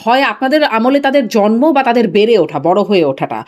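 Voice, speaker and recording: A man's voice: high-pitched, very animated, fairly quick. A man interviewing on a talk show, putting probing questions and challenging the guest.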